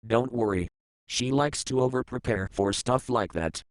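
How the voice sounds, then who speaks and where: A man's voice: medium pitch, normal speed, close to an everyday voice. A man playing a blunt facility worker and husband in an amateur audio drama. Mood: wry. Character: male containment facility staffer, blunt, worried husband.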